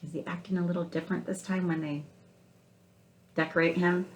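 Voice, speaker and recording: A woman's voice: fairly low pitch, fairly even intonation, fairly quick, echoey room, a little hiss or hum, rough recording. A woman speaking as a kindergarten teacher, asking questions and explaining simply and patiently, step by step, to young children.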